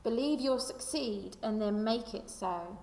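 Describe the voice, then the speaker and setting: Echoey, higher-voiced woman reading to children. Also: measured pace, creaky, darkish tone. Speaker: primary school teacher. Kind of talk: children's picture book read-aloud. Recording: echoey room with long reverb.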